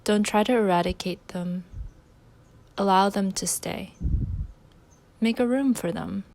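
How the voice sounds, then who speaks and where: A woman leading a guided meditation, giving gentle instructions in short phrases with pauses between them. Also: mid-range voice, creaky.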